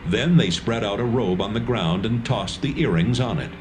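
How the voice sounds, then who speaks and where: A man narrating a dramatised scripture reading with a measured storytelling delivery. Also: fairly low pitch, lively intonation, over music.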